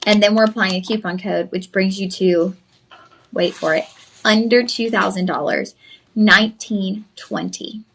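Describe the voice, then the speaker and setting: A woman's voice: medium pitch, steady tone, measured pace, creaky, fairly bright tone, slight background noise, rough recording. A young woman, hosting a live shopping stream, effusive and chatty, calling viewers y'all. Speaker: shopping channel host. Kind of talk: live-stream sales pitch.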